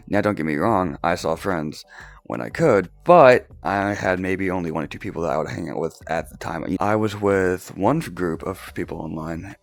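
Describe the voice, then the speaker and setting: Young male vlogger, low and groggy, rambling storyteller prone to laughs and restarts. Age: young adult. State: groggy, just woke up. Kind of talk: casual personal storytelling.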